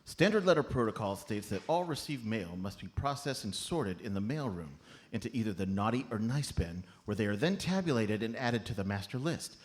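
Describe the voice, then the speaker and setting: This is a man acting as an earnest master elf in a comic radio play. He recites rules and procedures in a formal, bureaucratic manner, and his pitch moves around a great deal. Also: lively intonation, a little fast, natural voice. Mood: officious, matter-of-fact. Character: earnest, rule-bound male master elf of Santa's mailroom.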